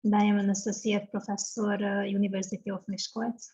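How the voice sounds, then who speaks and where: A woman's voice: mid-range voice, little pitch movement, a little fast, mellow, highs cut off, a little hiss or hum. A woman from Hungary with Hungarian as her first language, an associate professor, friendly and brief on a video call. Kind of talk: conference small talk on a video call.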